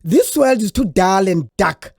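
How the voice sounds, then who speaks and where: A woman's voice: mid-range voice, expressive, unhurried, creaky, a little mellow, breathy edge, no room sound, clean studio sound, full character voice. A woman playing a restless fire spirit in a children's folktale audio drama, with a declamatory, emphatic delivery. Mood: commanding. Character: restless, easily bored female fire spirit.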